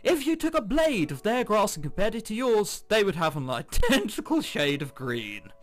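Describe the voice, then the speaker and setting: A man's voice: high voice, huge pitch swings, moderate pace. A man giving casual, jokey gaming commentary with sarcastic asides, switching between reading game text aloud and his own remarks. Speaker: let's player. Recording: music behind the voice. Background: game background music.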